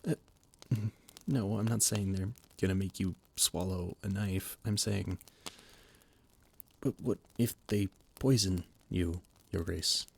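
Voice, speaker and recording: A man's voice: low voice, fairly even intonation, slow, creaky, husky, heavily acted. A young man playing an anxious, loyal royal advisor who stammers and speaks formally. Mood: exasperated. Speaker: voice actor in an audio roleplay. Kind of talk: scripted audio roleplay.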